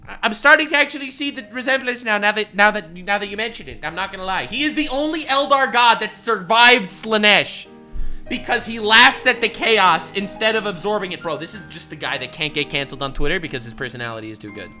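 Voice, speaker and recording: A young man with a bright voice reacts with big swings in pitch. He shouts, swears and drops to a mutter. Faint music plays behind him. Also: a little fast. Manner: animated, swings from shouting to mumbling, swears a lot. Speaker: streamer reacting to a video. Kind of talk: loud, excitable reaction commentary.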